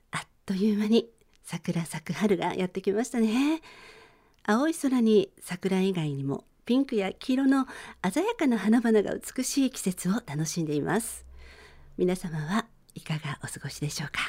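A woman hosts a relaxed bedtime radio programme in a fairly high voice. Her intonation rises and falls in a very lively way as she addresses listeners politely and gently. Music plays faintly behind her. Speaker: radio show host.

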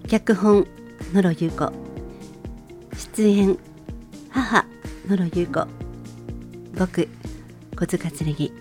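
A woman hosting a radio show, making scripted announcements in a polite, clearly phrased way. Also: on the low side, drawn-out words, pauses often, a little mellow, faint music behind.

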